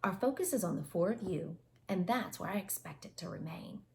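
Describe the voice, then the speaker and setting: A woman voicing a calm, persuasive male police detective. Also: lowish voice, fairly quick, gravelly, a little hiss or hum. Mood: coaxing.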